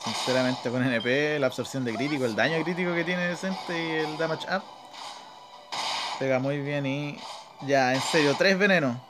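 A man's voice: a little high, normal speed, low-quality recording. A man with a Chilean accent giving casual, off-the-cuff gameplay commentary, muttering as he thinks aloud. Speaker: gaming video host. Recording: game music behind the voice. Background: video game battle music.

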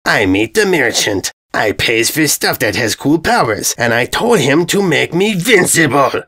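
A crude armoured villain from a storybook, acted out by a man. He gloats slowly in childish, broken grammar, boastful and stupid, sure that he is the hero. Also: medium pitch, very animated, a bit bright. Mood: boastful defiance. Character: crude, boastful, dim-witted male invader in spiked armour.

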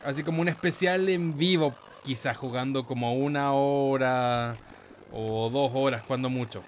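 A man with a Chilean Spanish accent giving casual, excitable let's play commentary in a playful, slangy, exclamatory manner. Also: mid-range voice, a little slow, a little hiss or hum. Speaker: gaming YouTuber, host.

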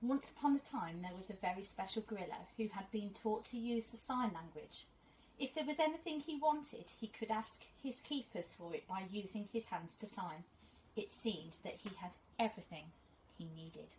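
A middle-aged woman reads a children's story aloud like a teacher, expressive and deliberate, outdoors. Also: medium pitch, poor audio. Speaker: first school teacher reading to pupils. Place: small fenced garden, outdoors. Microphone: camera a few metres away. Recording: outdoor camera audio, faint birdsong and noise.

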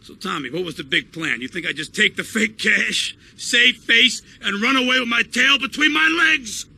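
A man's voice: very high voice, normal speed, creaky, sharp tone, slightly rough, exaggerated voice. An actor playing a swaggering, menacing Mafia boss in a video game, taunting and domineering as he talks. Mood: taunting, threatening. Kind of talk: video game cutscene acting.

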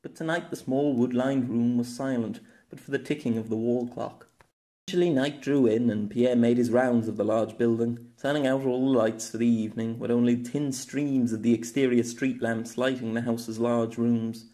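Guy narrating an audio novel, mid pitched voice, scripted storytelling. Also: fairly even intonation, moderate pace, dark tone.

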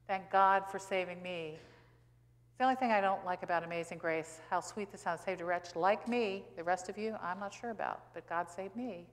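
American lady preacher in her 60s, lowish voice, talks plainly and leans on key words, echoey church. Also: moderate pace. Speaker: pastor. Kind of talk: sermon with rhetorical questions. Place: church sanctuary, indoors at a pulpit. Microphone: pulpit gooseneck microphone. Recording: reverberant church hall.

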